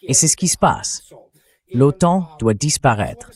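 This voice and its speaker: A man's voice: mid-range voice, lively intonation, unhurried, darkish tone. A man reading a translated interview as a guest commentator, speaking bluntly and emphatically about military affairs.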